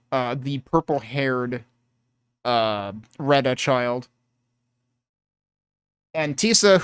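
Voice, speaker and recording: Man, mid-pitched clear voice, dry close recording, casual playthrough commentary, rambling and thinking aloud. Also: no echo. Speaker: game streamer.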